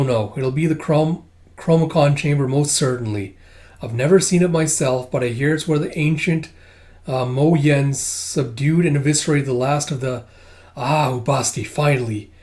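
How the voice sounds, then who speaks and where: A man's voice: mid-range voice, normal speed, darkish tone, natural voice. Cat scientist, acted by a man: mid-range voice, testy, haughty, dry muttering, storybook character. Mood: musing. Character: irritable, haughty cat doctor-scientist, dismissive and curious.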